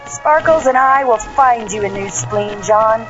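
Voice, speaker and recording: Woman as determined romantic heroine, unhurried, overwrought, muffled soundtrack, clear music behind, parody melodrama. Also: on the high side, close to an everyday voice. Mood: insistent. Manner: overwrought, drawn-out. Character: devoted, breathlessly determined melodrama sweetheart.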